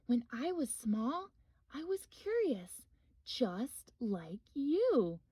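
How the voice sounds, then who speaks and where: A woman voicing a kindly, patient grandmother bear who gently teaches, in a children's storybook. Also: on the high side, very animated, husky, dry recording, studio quality, exaggerated voice. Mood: fond. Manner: gentle, encouraging, unhurried. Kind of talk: children's storybook read-aloud.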